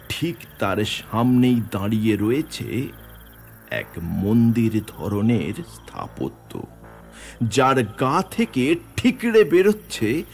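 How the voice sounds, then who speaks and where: A man narrating a horror story in the first person, with suspenseful, theatrical, deliberate phrasing over music. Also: on the low side, creaky, a little mellow, breathy edge.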